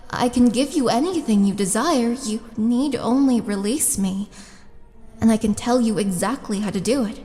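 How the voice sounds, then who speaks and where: A woman playing a tall, lonely orc oracle in an intimate roleplay audio drama, speaking softly and coaxingly with breathy, whispered touches. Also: fairly high pitch, lightly acted. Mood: confident, coaxing. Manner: slow, coaxing, intimate, halting. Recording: long reverb, music behind.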